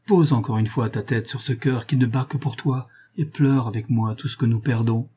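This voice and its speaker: A man's voice: mid-range voice, normal speed, dark tone, slightly rough, natural voice. A man reading a novel aloud as a guilt-ridden young lover, passionate and pleading in an exclamatory way. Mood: tender pleading.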